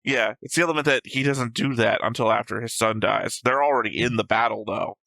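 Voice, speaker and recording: A man with a bright, sharp voice talks casually as co-host of a discussion show. He is short on sleep, argumentative and often restates his own points. The sound is dry, with little room in it. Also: mid-range voice, fairly quick, gravelly, no echo. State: sleep-deprived. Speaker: co-host of an anime reaction show. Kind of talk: casual discussion of episode notes.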